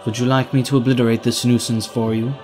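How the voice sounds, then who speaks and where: A man voicing a cold, obedient, menacing henchman who speaks formally and deadpan. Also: mid-range voice, fast, natural voice. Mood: cold, dutiful. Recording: music under the voice.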